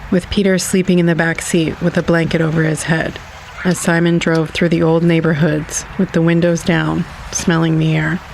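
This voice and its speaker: Gravelly, even-toned male narrator. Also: on the high side, a little hiss or hum, low-quality recording. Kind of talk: literary scripted narration.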